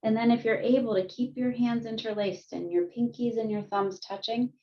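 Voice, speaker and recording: Calm woman guiding mindfulness over video call. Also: fairly high pitch, moderate pace. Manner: calm, gentle, with frequent light laughs. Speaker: counselor and guest speaker. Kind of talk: guided mindfulness and yoga instruction. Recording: muffled lo-fi video call audio.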